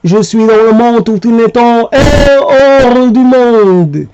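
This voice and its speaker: A man reciting spoken poetry in short, separated phrases, with a halting delivery. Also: very high-pitched, warm tone, clean tone, dull audio.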